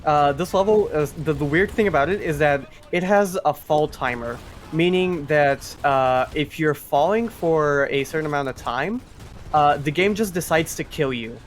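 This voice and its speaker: A man's voice: fairly high pitch, normal speed, slight background noise. A man giving casual live commentary as he explains a video game speedrun.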